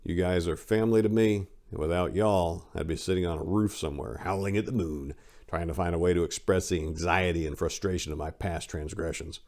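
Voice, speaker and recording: A man with a fairly low voice performs a dramatic reading from a book. He delivers his lines deliberately, in a declamatory way, as an audiobook reader addressing the listener. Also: fairly even intonation, darkish tone. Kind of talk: dramatic reading of a book's acknowledgments.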